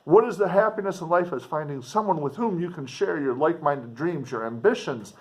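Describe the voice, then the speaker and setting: A man reading literature aloud like a teacher, expressively and emphatically, with dramatic pauses and explanatory asides. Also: fairly high pitch.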